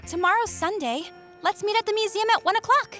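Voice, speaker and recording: A woman voicing a cheerful, curious, friendly teenage schoolgirl in an animated audio drama. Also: extremely high pitch, fairly quick, soft background music.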